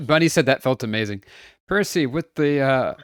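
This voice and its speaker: A man's voice: medium pitch, lively intonation, normal speed, no echo, studio quality. Chatty man streaming a game. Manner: chatty, laughs often, greets viewers brightly. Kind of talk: casual livestream chat.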